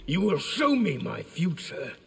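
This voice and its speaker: A man's voice: on the high side, wildly swooping pitch, unhurried, creaky, fairly bright tone, long reverb tail, a little hiss or hum, exaggerated voice. An actor playing an intense, demanding male fighter in a video game. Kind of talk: video game character dialogue.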